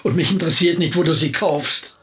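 An actor playing an aging, reclusive poet who answers dryly and guardedly in a radio play. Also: male voice, a little high, a little fast, a bit bright, natural voice. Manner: curt, dry, guarded. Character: aging reclusive male poet, dry and aloof.

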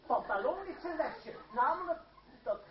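An actor playing a smooth, scheming stage rogue with theatrical, flowery delivery in a radio drama. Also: male voice, extremely high pitch, lively intonation, normal speed. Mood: gleeful. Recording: muffled, echoey old radio play recording, crowd noise.

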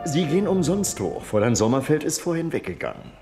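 A man's voice: on the high side, huge pitch swings, quick pace, vocal fry, loud music behind the voice, full character voice. An actor playing an indignant, nosy male neighbour in a radio crime drama, fussy and hesitant, repeating himself. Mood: eager, gossipy.

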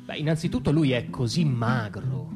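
A man acting a defensive, evasive ordinary father in a halting, repetitive theatrical monologue. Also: medium pitch, over loud music, natural voice. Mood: worried, bemused. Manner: hesitant, halting, repeating himself. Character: defensive ordinary middle-class father, evasive about his past. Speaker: stage actor performing a monologue. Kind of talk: theatrical comic monologue, as if answering questions.

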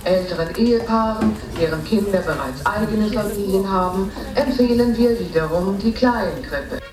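Echoing and set back from the microphone, an actress plays a department store saleswoman in a radio drama. She recites bargains like a promotional announcement, in a mid-pitched voice with a salesy delivery. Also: normal speed, natural voice. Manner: recited, salesy listing of goods and prices. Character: department store saleswoman touting Christmas bargains over loudspeaker. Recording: echoey store loudspeaker, distant.

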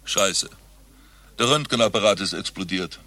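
An actor playing a vain, scatterbrained doctor with a theatrical manner in a satirical radio play. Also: male voice, lowish voice, moderate pace, pushed and bright. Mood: melodramatic. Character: vain, scatterbrained doctor hamming a soap opera chief physician.

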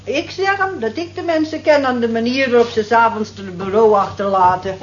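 A down-to-earth night cleaner played by a woman in a radio play, weary and given to earthy complaint. Her voice sits a little high. It comes through a dull, roomy recording with faint hiss. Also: brisk, lightly acted. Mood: wry. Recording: echoey, dull, hissy old radio recording.

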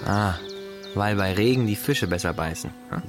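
A man's voice: on the low side, normal speed, over loud music, lightly acted. An actor playing an earnest, curious young man who speaks politely and hesitantly in a naturalistic radio play. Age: young adult.

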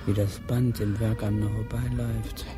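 A man's voice: fairly low pitch, little pitch movement, normal speed, over music, close to an everyday voice. An actor playing a withdrawn, brooding young man in a radio play monologue, speaking in short repetitive phrases. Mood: brooding, numb. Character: withdrawn, brooding young male driver, passive onlooker.

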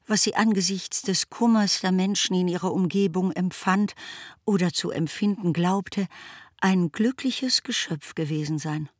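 An actress plays a decisive female storyteller in a literary radio play. Her voice sits at a middle pitch, and she narrates in measured, articulate phrases with fondness for her characters. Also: no echo, studio quality, natural voice. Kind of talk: literary audio drama narration.

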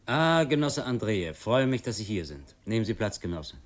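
An actor playing a cold male revolutionary leader in a German radio play, deliberate and authoritative, with pointed pauses. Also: fairly low pitch, big pitch swings. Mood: formal welcome.

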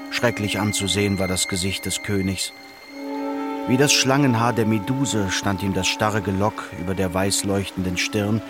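A man narrating a literary radio play in a scripted storytelling style. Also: on the low side. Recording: clear ambient noise behind the voice.

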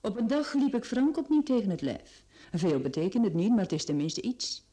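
A woman's voice: mid-range voice, big pitch swings, normal speed, warm tone, lightly acted. An actress playing a faded, proud, plain-spoken woman in a radio play. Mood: resigned. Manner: resigned, frank.

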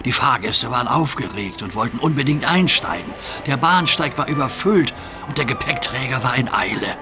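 A man narrating a scripted children's story in an expressive storytelling manner. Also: mid-range voice, moderate pace, over music.

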